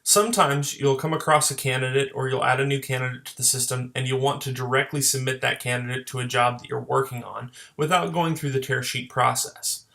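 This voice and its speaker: A man narrating an instructional screen tutorial as a software trainer, explaining plainly step by step. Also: medium pitch, steady tone, a little fast, vocal fry, few pauses. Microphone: voice-over for screen recording.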